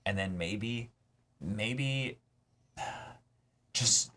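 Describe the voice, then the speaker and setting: A man's voice: on the low side, very slow, bright, forceful tone, a little hiss or hum. A man co-hosting a reaction show, talking in a casual, emphatic, enthusiastic way like an eager fan. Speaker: co-host of an anime reaction channel. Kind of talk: casual chat, reaction discussion.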